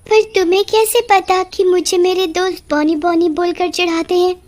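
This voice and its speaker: Small innocent cartoon schoolgirl woman performer: high, plaintive, earnest voice of a picked-on child. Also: flat delivery, moderate pace, darkish tone. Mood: curious, earnest. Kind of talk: animated cartoon story dialogue.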